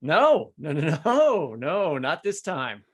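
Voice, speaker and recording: A man's voice: fairly high pitch, big pitch swings, slow pace, slightly rough. A middle-aged man hosting a podcast in an informal, joking, conversational way, with plenty of words. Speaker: podcast host.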